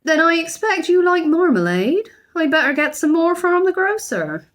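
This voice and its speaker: A woman's voice: extremely high pitch, big pitch swings, normal speed, pitched above the performer's own voice. A woman voicing a fierce, grumbling older housekeeper from a children's storybook, blunt, fussy and full of exclamations. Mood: brisk, sharp.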